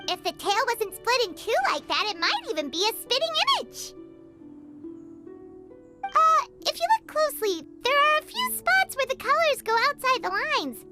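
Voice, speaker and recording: A woman voicing a tiny, excitable and cheeky fairy companion in a game, with a squeaky, chirpy voice. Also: moderate pace, over music. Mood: excited. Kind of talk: game voice acting.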